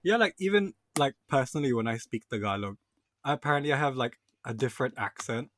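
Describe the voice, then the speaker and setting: A soft-spoken man speaking as a podcast guest in casual chat. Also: medium pitch, expressive, brisk, slight background noise.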